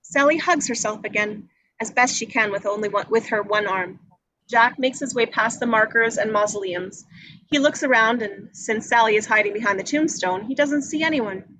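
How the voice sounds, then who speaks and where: A woman's voice: a little high, moderate pace, a bit bright, low-quality recording. A woman reading scripted action descriptions as narrator at a live table read.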